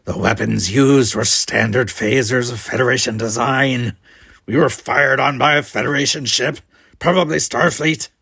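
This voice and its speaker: A man acts out a hot-tempered Klingon colonist in an audiobook. He sounds gravelly and rough, sneering with open contempt and quick to accuse. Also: medium pitch, fairly even intonation, pushed and bright, no echo, heavily acted. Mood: angry accusing. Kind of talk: audiobook character voice.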